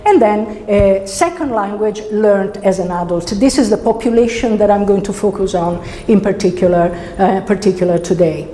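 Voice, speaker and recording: A woman's voice: medium pitch, warm tone. Italian woman lecturing in an echoey hall. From Italy. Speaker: university researcher giving a symposium lecture. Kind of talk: academic lecture, conversational and semi-scripted. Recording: roomy, reverberant hall with background noise.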